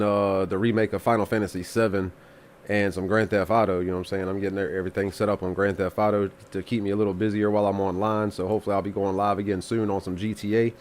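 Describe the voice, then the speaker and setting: A laid-back man with a lowish voice talks loosely through a live music review stream, still stuffy and congested from a bout of flu. He rambles and swears casually, and faint noise sits behind him. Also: fairly even intonation, fast talker, darkish tone. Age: adult with children.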